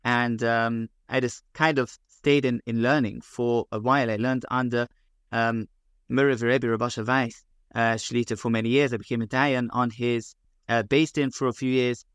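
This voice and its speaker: A middle-aged man from London with a London English accent, speaking as a guest rabbi in a podcast interview, measured and full of fillers and restarts. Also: medium pitch, little pitch movement, a bit bright, clear, resonant tone, no echo, clean studio sound. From England.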